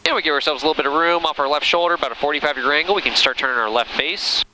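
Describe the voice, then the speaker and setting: A man's voice: fairly high pitch, very fast, low-quality recording. An American man giving step-by-step flying instruction and commentary from inside a small airplane, speaking on a headset. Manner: procedural, explains each step as it is done. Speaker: flight instructor. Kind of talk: instructional cockpit commentary with radio calls. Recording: clear aircraft engine and cabin noise. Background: steady engine drone.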